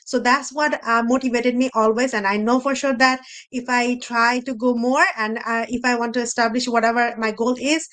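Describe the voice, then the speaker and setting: A woman with a fairly high voice speaks off the cuff at a motivational panel. English is not her first language, and she is earnest, repeating herself and phrasing things loosely as she encourages her listeners. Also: little pitch movement, moderate pace, a bit bright. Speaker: host and speaker on a women entrepreneurs' live panel. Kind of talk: motivational talk, unscripted.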